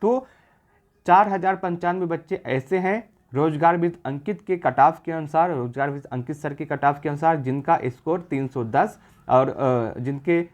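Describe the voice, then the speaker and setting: A man's voice: fairly high pitch, measured pace, a little mellow. A man presenting an exam-prep video, explaining figures informally in an assured, repetitive way. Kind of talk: informal explanatory data commentary.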